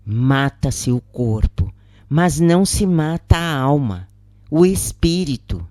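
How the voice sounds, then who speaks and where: A troubled, questioning woman prisoner , read by a female narrator, speaks with a Brazilian accent. Her delivery is earnest, hesitant and slow, in a dry audiobook recording. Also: sits low, expressive, mellow, dry recording. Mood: earnest, concerned.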